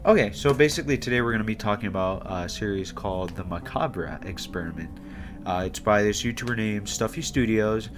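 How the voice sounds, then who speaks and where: A man hosting a YouTube explainer in a casual, irreverent, conversational way. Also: medium pitch. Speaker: YouTube host. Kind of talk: casual horror series explainer.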